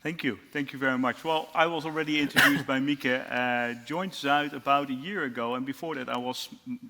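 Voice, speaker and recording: A middle-aged man with a Dutch accent, a lecturer moderating a student panel in a friendly, halting way with many fillers. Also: medium pitch, fairly even intonation, heavy background noise.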